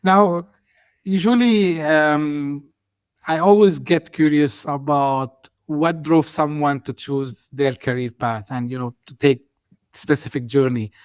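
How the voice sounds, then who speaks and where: A man's voice: fairly high pitch, fairly even intonation, slow pace, clear, resonant tone, no room sound. A man hosting an interview podcast, asking long, hesitant questions full of fillers. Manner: hesitant, many "you know" fillers. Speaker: podcast host.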